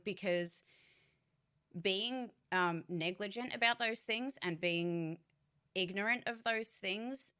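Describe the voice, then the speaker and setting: Woman vlogger, low-pitched and forceful; candid, swears, rambly with restarts. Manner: candid and blunt, swears freely; rambling with many fillers and restarts. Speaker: voice actor giving career advice. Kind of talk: casual vlog monologue.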